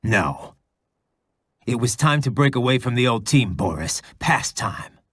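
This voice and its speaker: An actor playing a grim, battle-hardened cyborg mercenary in a game, terse and deliberate, in a raspy growl. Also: male voice, mid-range voice, animated, a bit bright, no echo. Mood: firm. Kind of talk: video game cutscene acting.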